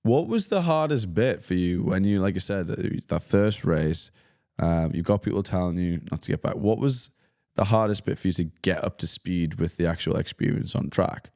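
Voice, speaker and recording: Guy with a really deep voice hosting a podcast, laid back, asking stuff. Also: normal speed. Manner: curious, relaxed questioning. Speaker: podcast host. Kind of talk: casual interview chat.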